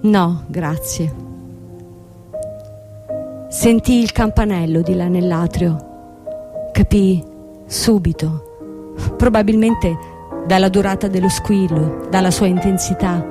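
An actress playing a young, proud, ruined company boss in a theatrical radio drama, speaking formally and emphatically with rhetorical questions. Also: female voice, on the low side, slow pace, long pauses. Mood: reflective. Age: young adult. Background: music.

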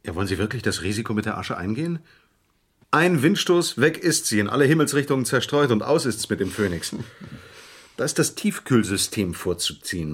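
An actor playing an obliging, drily witty fixer in a radio crime play, polite and wordily playful. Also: male voice, fairly low pitch, breathy edge.

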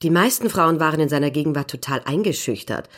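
Very low-voiced society lady. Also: expressive, fast. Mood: confiding. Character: upper-class British woman reminiscing, gossipy, knowing society lady. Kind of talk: acted radio drama monologue and dialogue.

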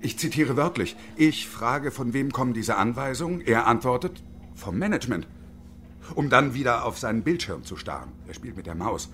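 A man's voice: medium pitch, animated, normal speed, fairly bright tone, a little hiss or hum, close to an everyday voice. An actor playing a bitter, sardonic unemployed engineer in his early fifties in a radio drama, wordy, pedantic and ironic. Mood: sarcastic. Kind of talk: radio drama acting with inner monologue.

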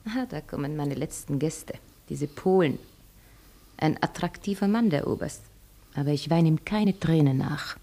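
A woman's voice: deep voice, measured pace, dark tone. An actress playing a broken, sentimental old French hotel landlady in a radio play, lamenting wearily. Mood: mournful. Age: older. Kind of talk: melodramatic radio play acting.

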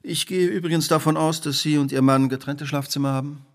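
An actor playing a shrewd, self-assured detective who speaks precisely and probingly in a radio play. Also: male voice, a little high, steady tone, brisk, lightly acted. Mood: incisive, deducing. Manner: precise, probing, imperious deduction. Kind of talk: dramatic radio play dialogue.

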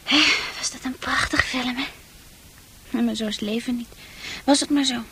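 A high, youthful female voice, that of a troubled girl of eighteen. She speaks in a hesitant, wistful way, guarded yet longing to get away, in radio play style with faint music behind her. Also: fairly even intonation, moderate pace, noisy background. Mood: wistful, melancholy. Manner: hesitant, wistful delivery with repeated words.

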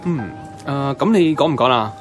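A man's voice: medium pitch, lively intonation, moderate pace, music in the background, lightly acted. A man playing a friendly, sociable office crush in a Hong Kong Cantonese radio drama, chatting casually. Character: friendly male office crush inviting a colleague out.

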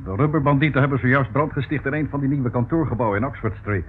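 An actor playing a brisk, unflustered police commissioner in a radio play, with a clipped, authoritative manner. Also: male voice, mid-range voice, soft background music, lightly acted. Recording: narrowband old radio recording, faint noise.

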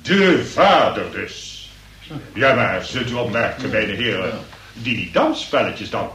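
Pompous magistrate in an echoey room. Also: male voice, on the low side, big pitch swings, takes it slowly, pushed and bright, exaggerated voice. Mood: lecturing. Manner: pompous, verbose, archaic legal phrasing. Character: pompous, long-winded male examining magistrate. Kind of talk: comic radio play acting, courtroom oratory. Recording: noisy, echoey room, distant from mic.

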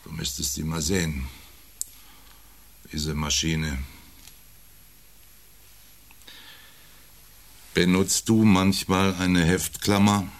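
Low-voiced, domineering husband around forty. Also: male voice, flat delivery, slow pace, vocal fry, full character voice. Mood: brooding, self-important. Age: 40s. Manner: needling, belittling, brooding. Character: domineering, self-important, jealous working-class husband around forty. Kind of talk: intimate radio drama dialogue.

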